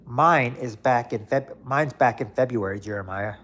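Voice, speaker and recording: A man's voice: medium pitch, normal speed, a bit bright. A man in his late thirties chatting casually on a livestream, rambling and repeating himself with lots of fillers. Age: 30s. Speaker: livestream host. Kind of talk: casual chat reading viewer comments.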